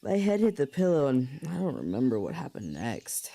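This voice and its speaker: Woman playing an eager young trainee, mellow voice. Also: very deep voice, huge pitch swings, normal speed, a little hiss or hum, full character voice. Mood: casual. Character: eager, restless young trainee, casual and adventurous. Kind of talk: audio drama acting, casual dialogue.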